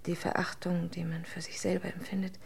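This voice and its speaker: An actress playing a resigned, sorrowful wife with a breathy, half-whispered voice in a 1960s German radio play. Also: female voice, on the low side, little pitch movement, brisk, a little mellow, exaggerated voice.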